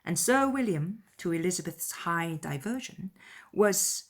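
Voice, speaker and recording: A woman reading a classic novel as an expressive audiobook narrator, in scripted literary prose. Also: lowish voice, unhurried.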